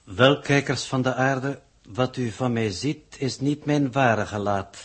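An actor playing a pedantic, formal alien coordinator who reports in stilted, ponderous phrases in a 1960s radio drama. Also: male voice, mid-range voice, takes it slowly, breathy edge. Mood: grave, formal.

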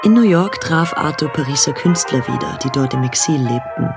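A man as the storyteller of a radio drama, giving sober, measured scripted narration. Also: on the high side, expressive, creaky. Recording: music under the narration.

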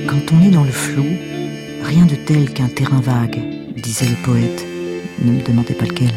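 An actress playing a deadpan, tongue-in-cheek storyteller, narrating a scripted noir parody audio drama with a French accent. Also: female voice, very deep voice, moderate pace, over music. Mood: wry. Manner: deadpan, wry.

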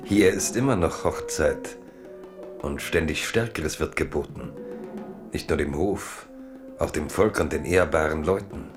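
A man narrating a literary radio play as a theatrical, measured storyteller. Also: rumbling low, music in the background. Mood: wry, excited.

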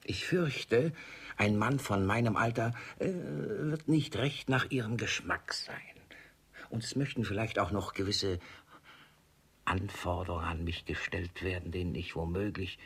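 An actor playing a vain, gullible, miserly old suitor in a German radio play, husky-voiced, hesitant and fussy. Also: male voice, lowish voice, a little slow, a bit bright, heavily acted. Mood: worried, hesitant. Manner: hesitant, fussy, muttering repeated words.

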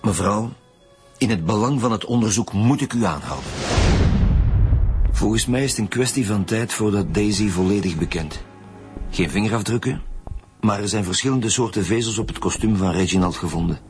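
An actor playing a gruff, commanding male chief homicide detective in a radio drama. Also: on the low side, normal speed, faint music behind, natural voice. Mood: businesslike. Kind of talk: naturalistic radio drama acting.

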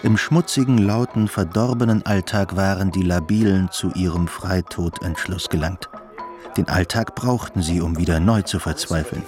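A man's voice: sits low, moderate pace. A man narrating a radio play in a scripted, literary style. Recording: studio narration with faint music.

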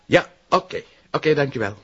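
An actor playing a loyal, dry police detective. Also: male voice, on the high side, animated, a little fast, vocal fry, music in the background. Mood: matter-of-fact. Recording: muffled sound, faint noise.

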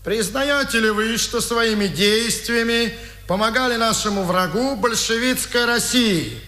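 High, sharp-voiced imperious Nazi judge. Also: male voice, unhurried, exaggerated voice. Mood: stern, accusing. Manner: curt, imperious, commanding. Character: cold, domineering Nazi official and judge, male. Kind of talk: 1950s Soviet radio drama acting. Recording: roomy, echoing 1950s radio recording.

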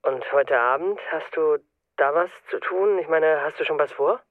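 An actor playing a sober systems consultant, a smitten city single, matter-of-fact in a German radio play. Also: male voice, fairly high pitch, normal speed, no echo, phone-quality sound, close to an everyday voice. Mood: tentative, hopeful.

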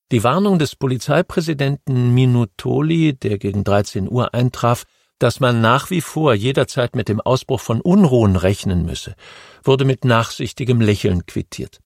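A man narrating a history audiobook in a measured, steady storytelling manner. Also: medium pitch, creaky, no echo, studio quality.

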